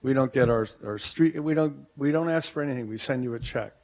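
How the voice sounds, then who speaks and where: Male business owner, public comment; plainspoken, halting, cautious, podium mic in council chamber. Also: medium pitch, a little mellow. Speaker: merchants association president speaking at public comment.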